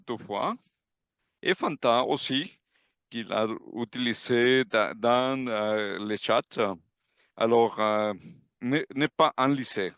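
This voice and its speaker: A man who is not a native speaker giving a grammar report at an online club meeting, halting with many restarts. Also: mid-range voice, a bit bright, clear, resonant tone, dry recording, lo-fi sound. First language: not French. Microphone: video call.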